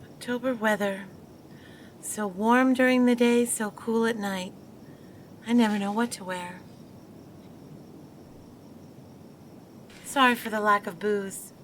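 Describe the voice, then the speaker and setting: A woman's voice: mid-range voice, steady tone, measured pace, slight background noise, lightly acted. An actress playing a proper, married 1920s woman who defends herself and scolds her former lover. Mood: chatty. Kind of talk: 1920s period audio drama.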